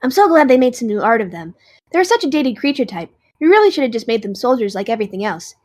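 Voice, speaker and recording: A woman's voice: fairly high pitch, big pitch swings, quick pace, darkish tone. A woman playing a cheerful, unbothered, nerdy dragon in an audio drama, chatty and matter-of-fact.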